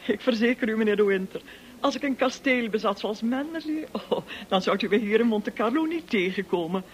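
Radio drama: actress as domineering middle-aged snob, pompous and gushing, very lively intonation. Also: female voice, fairly high pitch, brisk, slight background noise, natural voice. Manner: pompous, affected, bossy.

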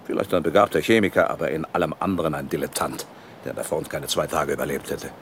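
An actor playing a ruthless, defiant older company boss in a German radio drama, arguing forcefully and with contempt. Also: male voice, rumbling low, quick pace, slight background noise. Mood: defiant, cold. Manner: forceful, rhetorical, self-justifying.

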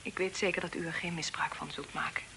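An actress playing a proud, guarded young daughter of a fugitive in a period radio drama, speaking formally with spirit. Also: female voice, on the low side, fairly even intonation, fast talker, whispered, lightly acted. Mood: subdued, guarded. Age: young adult. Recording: noisy old radio drama recording.

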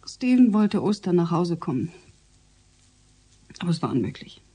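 An actress playing a middle-aged female politician and guilt-ridden mother in a radio drama, breathy, with halting, emotional delivery. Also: on the low side, sing-song, mellow. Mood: remorseful. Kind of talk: naturalistic German radio drama acting.

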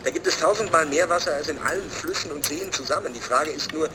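Actor as a self-assured high-voiced water executive. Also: male voice, fast talker, crowd noise, natural voice, low-quality recording. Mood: confident, lecturing. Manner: lecturing, persuasive, matter-of-fact. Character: self-assured male water company CEO, coolly profit-minded. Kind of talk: radio play acted as a video-call interview. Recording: clear background ambience.

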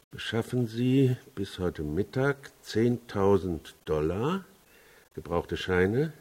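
Measured attorney type for radio drama: very low, hoarse-edged, deliberate and composed. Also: male voice, a little mellow, heavily acted. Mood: coldly measured. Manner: deliberate, explanatory. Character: composed male family lawyer, measured and matter-of-fact. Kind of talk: radio play acting.

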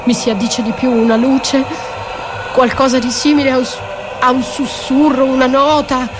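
An actress playing a battered, dreamy and desperate wife in a poetic theatrical radio monologue, halting and repeating her words. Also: high voice, little pitch movement, background noise, lightly acted. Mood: pensive, prayerful. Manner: halting, repeating words, swinging from murmur to cries. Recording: music under the voice. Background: music.

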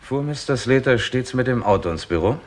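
A male actor playing a brisk, sceptical Scotland Yard chief inspector, questioning in a 1960s German radio crime drama. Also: medium pitch, lively intonation, a little hiss or hum, lightly acted. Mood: probing. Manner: terse, probing, authoritative.

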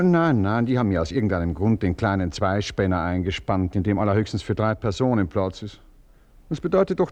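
Fussy writer husband , played by a man, low and mellow, pitch swinging all over. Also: lightly acted. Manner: animated, fussy, then tender. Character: distracted novelist husband, soothing his wife. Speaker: novelist husband. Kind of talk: radio play acting.

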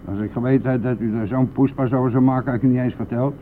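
An actor playing a brash, defensive bus driver in a Dutch radio play, blustering and scoffing in colloquial speech. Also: male voice, mid-range voice, fairly even intonation, fast talker, close to an everyday voice. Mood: callous, boastful. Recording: tinny band-limited old radio recording, noisy.

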